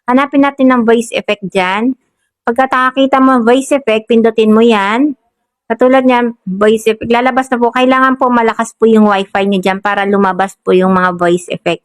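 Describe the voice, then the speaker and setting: A woman's voice: a little high, fairly even intonation, moderate pace, no room sound. A woman with a Filipino accent hosting a casual step-by-step app tutorial in a polite, instructional manner. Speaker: tutorial host.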